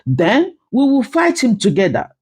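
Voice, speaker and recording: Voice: mid-range voice, wildly swooping pitch, a little slow, a little mellow, husky, pitched above the performer's own voice, exaggerated voice. A narrator voicing a wronged, determined mother, firm and earnest, in a story. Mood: resolute. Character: wronged, determined widowed mother, wary but resolute.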